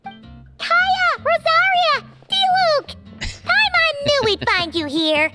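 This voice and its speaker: An excitable little fairy companion with a squeaky, extremely high voice that leaps around constantly. She chatters like a child in a game, over music. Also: takes it slowly, exaggerated voice. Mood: excited. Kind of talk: video game character acting.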